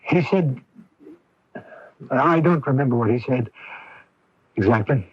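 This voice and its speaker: An actor playing a gentle, hesitant, devoted middle-aged father in a 1980s radio drama, with a husky voice. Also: mid-range voice, animated, slow pace, no echo. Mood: puzzled, evasive. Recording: dull, dry AM radio recording.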